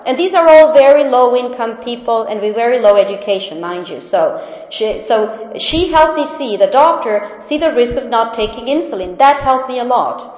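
A woman's voice: a little high, lively intonation, moderate pace, a little mellow. A woman with an Argentine accent, a native Spanish speaker, presenting research findings in an academic talk, hesitant with many fillers and restarts. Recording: echoey hall, dull sound.

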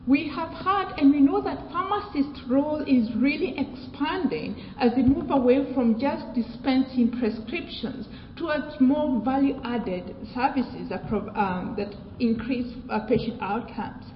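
A woman from Kenya with a British accent, giving a measured academic presentation with fillers and restarts. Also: high-pitched. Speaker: research presenter. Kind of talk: academic conference talk. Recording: echoey hall, dull sound, faint noise.

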